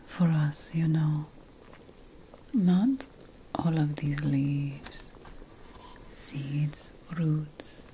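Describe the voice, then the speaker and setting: A woman voicing a sly, conspiratorial potion-making witch in an ASMR fantasy roleplay, whispering slowly and deliberately with dramatic pauses. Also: low-pitched, dark tone, slight background noise, rough recording. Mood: hushed, confiding.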